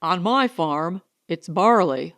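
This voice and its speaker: Audiobook role: female reader as terse male colony farmer, lowered voice, awkward, unhurried, plain-spoken. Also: animated, fairly bright tone, full character voice. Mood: plain, reserved. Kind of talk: audiobook character dialogue.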